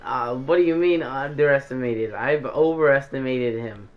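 A man's voice: mid-range voice, moderate pace, faint music behind. A man chatting casually with friends in a game party, bragging and trash talking playfully. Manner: boastful, playful trash talk. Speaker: gamer chatting in a party while waiting for a match. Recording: muffled lo-fi game chat audio.

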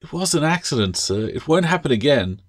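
A man's voice: a little high, very animated, brisk, pitched above the performer's own voice. A man voicing a nervous, earnest young girl apprentice who is apologetic and flustered, in a fantasy audiobook. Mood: anxious, eager.

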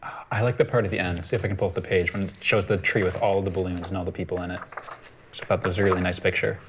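A man's voice: sits low, flat delivery, racing, background noise. A man in his twenties reading a picture book aloud and chatting casually in a studio. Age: 20s. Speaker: storytime reader. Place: small TV studio at a desk.

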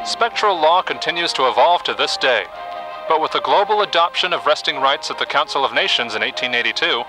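A man playing a documentary narrator, reading scripted history in a measured, formal manner. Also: a little high, lively intonation, over music, lightly acted, rough recording. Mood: solemn.

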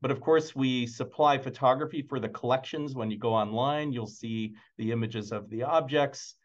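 A man giving an informal slide presentation over a video call, speaking in halting phrases with repeated words. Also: mid-range voice. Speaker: presenter, museum imaging department staff. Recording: dull, band-limited video call audio.

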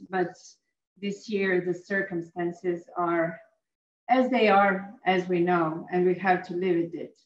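A woman gives a formal welcome at a conference and often pauses on fillers. Her remote audio sounds roomy and echoey, and it has a dull, muffled tone. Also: medium pitch. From Croatia. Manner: hesitant, frequent uh fillers. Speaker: guest speaker.

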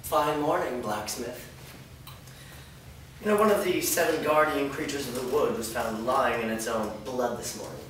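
A man's voice: mid-range voice, normal speed, fairly bright tone, close to an everyday voice. Casting: male actor as haughty, prissy enforcer, insinuating menace, stage drama; distant, very echoey recording. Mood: smug probing. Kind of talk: staged reading of a fantasy drama. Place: indoor performance room before a small audience. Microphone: far camera. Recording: echoey hall, distant mic, noisy.

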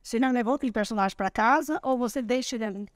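Higher-voiced woman host, dry sound. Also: racing, no echo. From Brazil. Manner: friendly, chiming in and prompting. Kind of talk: casual interview questions. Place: large bright living room, seated on a sofa.

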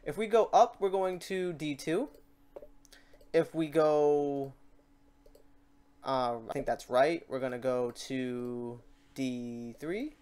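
A man with a clean, smooth voice talks through a software tutorial as its host. He is informal and unscripted, working things out aloud as he goes. He is quick to poke fun at his own work. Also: medium pitch. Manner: informal, thinking aloud, self-deprecating. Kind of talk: casual, improvised software tutorial.

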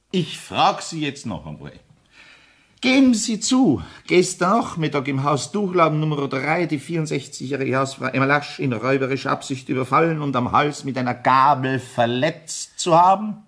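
A persistent Viennese commissioner conducts an interrogation in a radio crime play. His middling voice moves widely in pitch, sly and pressing. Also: expressive, moderate pace, a bit bright. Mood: stern. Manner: sly, coaxing yet pressing.

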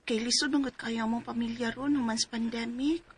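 A woman's voice: fairly high pitch, steady tone, brisk, a little hiss or hum, lightly acted. A woman in her twenties from Cebu province voicing a timid young helper who narrates her own story and pleads apologetically in a radio drama. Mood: worried. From Cebu province, Philippines. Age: 20s. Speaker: letter-writer narrator and lead.

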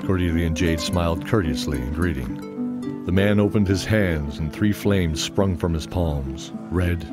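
A man's voice: sits low, a little slow. A man narrating a fantasy audio drama as scripted storytelling. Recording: music under the voice. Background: music.

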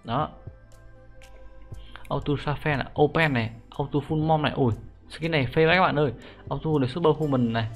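A young man giving a casual, slangy game tutorial as an excitable YouTuber, talking viewers through a menu. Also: on the high side, fairly quick, long pauses. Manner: informal, excitable slang, repeated sentence-end particles. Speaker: gaming YouTuber. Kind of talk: casual screen-recorded game script tutorial. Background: music.

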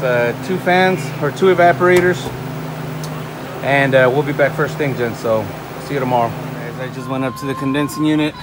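A refrigeration technician talking to viewers while he tests wiring in a store's walk-in cooler, thinking aloud as he works, recorded on a nearby phone. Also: mid-range voice. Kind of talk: casual hands-on work vlog. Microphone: phone camera held or propped nearby. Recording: loud machinery and fan noise behind.

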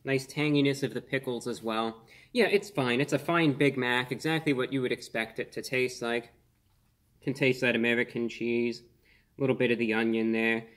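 A man in his twenties hosting a casual food review on camera, speaking in an earnest, measured way with slightly formal phrasing. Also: medium pitch, steady tone, fairly bright tone. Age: 20s. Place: small indoor room.

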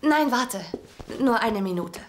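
A woman's voice: on the high side, animated, takes it slowly, a little hiss or hum. An actress dubbing a witty young woman with a dry, teasing manner in a sitcom dub.

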